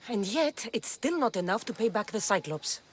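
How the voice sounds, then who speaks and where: A woman's voice: mid-range voice, animated, normal speed, slight background noise, close to an everyday voice. An actress playing a tough young mercenary woman in a video game, blunt and sardonic, with a Greek accent. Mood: annoyed. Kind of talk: video game cutscene acting.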